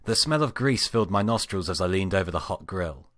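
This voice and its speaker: A man with a low voice reads fan fiction aloud as an amateur narrator. The sound is dry and close, with little room around it. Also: expressive, brisk, fairly bright tone, dry recording, faint music behind. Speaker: amateur story reader.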